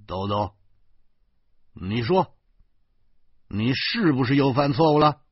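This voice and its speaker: A man playing a furious, self-righteous bully in an audio story, stammering and shouting emphatically as he scolds. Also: medium pitch, expressive, measured pace, husky, no echo, highs cut off, pitched above the performer's own voice. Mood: menacing, commanding.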